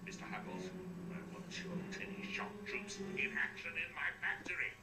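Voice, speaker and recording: Pompous, high-pitched evil professor. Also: male voice, very animated, moderate pace, recorded from a distance, heavy background noise. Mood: furious. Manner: pompous, ranting. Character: vain, exasperated evil professor villain building evil toys. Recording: roomy game audio under loud music.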